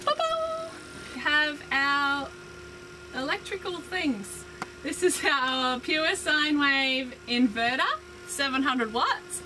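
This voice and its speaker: A woman's voice: fairly high pitch, noisy background. A woman, chatting casually and upbeat as she walks through the cabin of a sailboat, explaining her gear with frequent ums. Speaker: vlogger giving a boat tour. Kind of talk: casual walkthrough vlog. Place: small cabin of a sailboat, indoors. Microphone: handheld camera close by.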